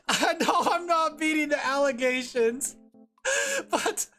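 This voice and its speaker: A man's voice: extremely high pitch, very animated, slow pace, bright, forceful tone, faint music behind. Rambling, laughing male streamer. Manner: rambling and self-deprecating, laughs often. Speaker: vtuber streamer. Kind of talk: casual livestream chat while drawing.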